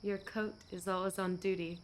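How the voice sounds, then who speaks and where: A woman's voice: mid-range voice, level tone, moderate pace, slight background noise, close to an everyday voice. An actress playing a struggling young woman in a radio play, guarded but hopeful. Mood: shy, coaxing.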